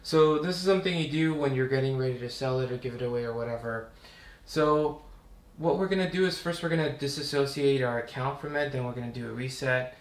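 A young man hosting a casual, relaxed tutorial, chatting as he works through steps in a living room. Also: mid-range voice, fairly quick, few pauses. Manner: relaxed, chatty, talks while working. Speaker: tutorial host. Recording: roomy home recording with faint hum.

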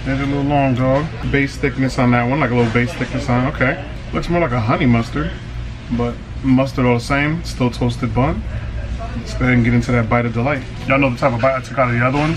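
A man in his forties casually reviewing food on camera, slangy and animated, reading his own written review aloud with flourish. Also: mid-range voice, echoey room, low-quality recording. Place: small restaurant dining room, indoors.

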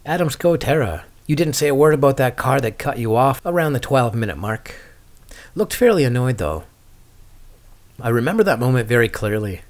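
Guy doing a casual skating podcast, average pitch, wry and rambly, answering questions. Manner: wry, dry humour.

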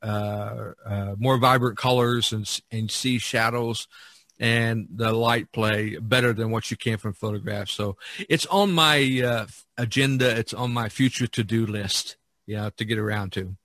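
Male podcast painter, middle-aged or older. Lowish pitch, crisp bright edge, halting conversational delivery. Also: no room sound. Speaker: studio painter being interviewed in a role-play. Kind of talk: casual unscripted podcast conversation.

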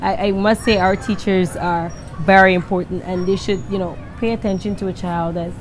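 A woman's voice: medium pitch, fairly even intonation, normal speed, faint music behind. A young woman with a Guyanese accent, answering interview questions with confidence and frequent laughs. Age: young adult. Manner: confident, laughs often, speaks with emphasis. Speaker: singer and interview guest. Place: outdoor terrace by a balustrade. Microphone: handheld mic held by the interviewer.

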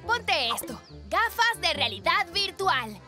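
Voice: very high voice, big pitch swings, slow, sharp tone, faint music behind, full character voice. An actor voicing a sensible, friendly polar bear cub in a children's cartoon dub, explaining things patiently.

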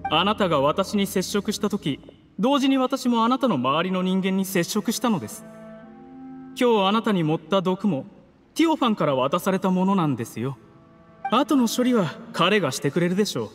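男性の声優がゲームで演じる、礼儀正しく落ち着いた口調で脅す冷酷な策士の役人。. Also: male voice, sits high, big pitch swings. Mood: smug, calm. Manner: courteous, measured, menacing formal speech. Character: coolly ruthless scheming male official, polite betrayer. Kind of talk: dramatic game cutscene acting in Japanese anime manner. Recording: long reverb, music underneath.

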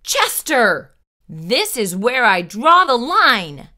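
Bright, animated woman reading to children. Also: fairly high pitch. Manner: theatrical, deliberate, emphatic. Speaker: children's storytime host. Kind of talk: expressive picture-book read-aloud. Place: small indoor room with bookshelves.

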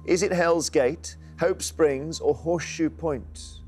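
Male game show host, slightly high voice, crisp studio delivery, faint music under. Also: darkish tone. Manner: brisk, crisp announcing, reading questions aloud. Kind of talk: scripted game show presenting. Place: large TV studio indoors. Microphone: lapel mic.

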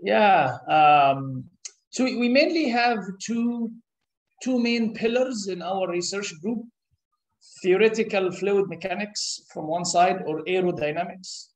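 Egyptian-born professor, 30s, second-language English, slightly high voice, thoughtful, halting; room echo. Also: male voice, lively intonation. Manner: thoughtful, with frequent "uh" pauses and restarts. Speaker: interview guest, professor. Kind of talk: explanatory interview answers. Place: conference room. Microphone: camera across a table. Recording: echoey meeting room.

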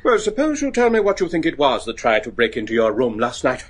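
An actor playing a learned, excitable professor of werewolf lore in a gothic horror radio drama, theatrical and scholarly. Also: male voice, medium pitch, a little fast, breathy edge. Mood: scholarly, insistent. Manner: theatrical, scholarly, hesitant stammers.

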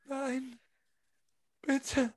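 A man plays a desperate, frightened captive woman in a tabletop game. The voice is high and urgent. The words come as repeated pleas for rescue. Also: flat delivery, very slow, no room sound, full character voice. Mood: desperate pleading. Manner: pleading, urgent, repetitive.

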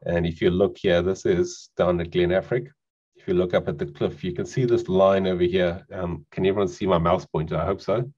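A middle-aged man with a South African accent gives a relaxed online presentation with lots of fillers, over a video call microphone. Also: deep voice, a little fast, dull audio. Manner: relaxed, conversational, frequent fillers.

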